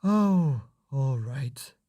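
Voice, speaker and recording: Casting: tired storybook father played by a male narrator, mild, reluctant, lively pitch, slightly husky. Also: extremely slow, no echo, studio quality. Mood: giving in. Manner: weary, gently reluctant.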